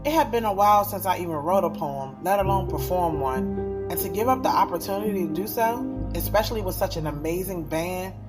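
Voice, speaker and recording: A woman's voice: lowish voice, animated, brisk, natural voice. An actress playing a guarded, skeptical young woman poet in an audio drama, speaking in a candid, conversational, street-smart way. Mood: reflective, candid. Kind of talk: audio drama dialogue and first-person narration. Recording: music playing behind the voice.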